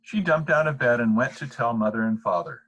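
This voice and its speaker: An older man with a husky voice reading a children's bedtime story aloud. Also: mid-range voice, a little fast, fairly bright tone, muffled recording. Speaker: storybook reader.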